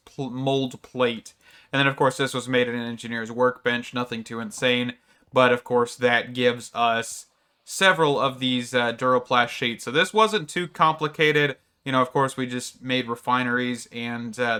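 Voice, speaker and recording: Man, gaming commentator, bright clear tone, casual rambling narration over gameplay, slightly worn voice, desk mic. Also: medium pitch. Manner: rambling, thinks aloud with many fillers. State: voice a little off from strain. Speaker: gaming YouTuber. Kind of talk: casual let's-play commentary.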